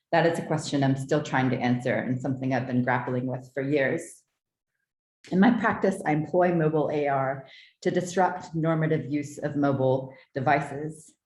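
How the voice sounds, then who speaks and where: A woman from the southeastern United States presents her own art in a prepared talk, with measured, articulate, formal delivery. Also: sits low, darkish tone. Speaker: artist presenting own work. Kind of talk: prepared artist talk, read from notes. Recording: roomy, echoing sound.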